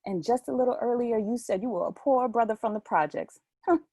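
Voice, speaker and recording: A woman's voice: on the high side, fairly quick, mellow, no echo. A woman playing a sassy, scheming young woman in a play reading, taunting and mock-sweet. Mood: taunting, smug. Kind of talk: readers theatre play reading.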